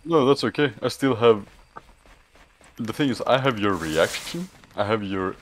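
Male co-op guest, lowish voice, lively, teasing, swears, faint game music. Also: unhurried, slight background noise. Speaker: co-op gaming guest. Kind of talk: casual gaming chat.

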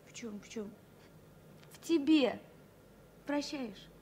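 An actress playing a young, loving and resolute flight attendant in a 1970s Soviet radio play, speaking in a soft, coaxing way. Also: female voice, high-pitched, slow, slight background noise. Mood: tender, hesitant.

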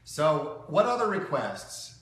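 A man's voice: a little high, big pitch swings, takes it slowly, a bit bright. A man in his forties, jovial and chuckling as he hosts a casual livestream chat. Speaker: host of a live piano request show. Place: living room beside a grand piano. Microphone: phone or camera a few feet away. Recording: very echoey room, faint background noise.

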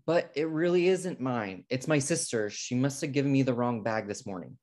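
A man's voice: mid-range voice, normal speed, a little mellow, natural voice. Uptight, exasperated American schoolteacher played by a man. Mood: defensive. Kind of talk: comedy table read. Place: indoor room, video call.

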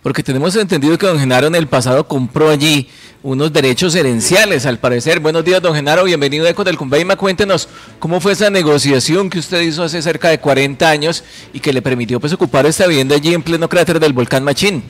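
A middle-aged radio host speaks in a fairly high voice, courteous and conversational as he puts questions to his guests. He sits at a studio microphone. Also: fast. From Colombia. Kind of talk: radio interview.

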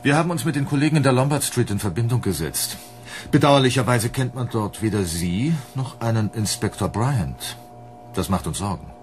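An actor playing a menacing police inspector in a German radio drama, formal, sardonic and commanding. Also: male voice, medium pitch, lively intonation, normal speed, soft background music, close to an everyday voice. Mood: formal, suspicious.

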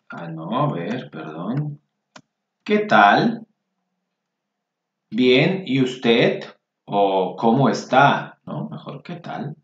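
A man teaching Spanish, reading workbook exercises aloud and patiently repeating phrases for learners. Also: medium pitch. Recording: roomy, dull lo-fi sound.

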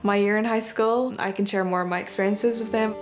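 A woman's voice: medium pitch, fairly quick. A teenage girl who grew up in Northern Ireland after moving from Malaysia, chatting easily in a documentary interview at home. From Malaysia, raised in Northern Ireland. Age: teens. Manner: chatty, relaxed, uses fillers like "you know". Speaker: interviewee, daughter. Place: home living room. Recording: guitar music underneath.

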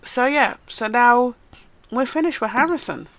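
A woman with a bright, tense voice that has some gravel in it, chatting through a life-sim let's-play. She is stuffed up from a cold, so she sounds nasal, and she talks straight to the characters on screen. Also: fairly high pitch, a little slow, slight background noise. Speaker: gaming channel host. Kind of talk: casual let's-play commentary.

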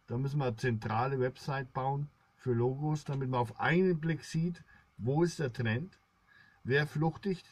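A middle-aged man rambling to his viewers in a solemn, halting monologue, recorded indoors at about arm's length. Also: mid-range voice, darkish tone, no room sound. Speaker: blogger, solo video host.

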